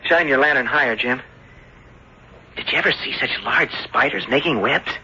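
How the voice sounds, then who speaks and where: An actor playing an earnest, excitable young voyager in an old-time radio drama. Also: male voice, mid-range voice, moderate pace, breathy edge, full character voice. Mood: urgent, eager. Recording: dull, hissy old radio recording.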